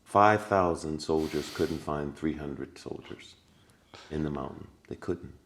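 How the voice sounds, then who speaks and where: A man's voice: extremely low pitch, measured pace, a bit bright, slight background noise. A man talking as a podcast guest, telling stories earnestly with many restarts and repetitions. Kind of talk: casual conversation.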